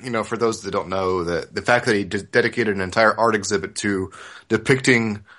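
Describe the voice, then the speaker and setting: Lower-voiced man chatting on a podcast. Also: fairly even intonation, a little fast, a bit bright. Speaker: podcast co-host. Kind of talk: casual discussion of manga.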